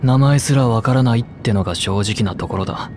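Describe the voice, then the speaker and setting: A man's voice: mid-range voice, a little fast, roomy sound, long reverb tail, over music, natural voice. A man voicing a laconic, dry-toned narrator. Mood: reflective. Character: calm, laconic male adventurer recounting the past. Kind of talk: reflective game narration.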